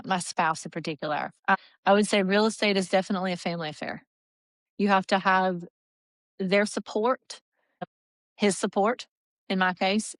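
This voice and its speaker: A woman's voice: mid-range voice, fairly bright tone, no echo. A middle-aged woman who works as a real estate agent, giving earnest, explanatory interview answers with many restarts.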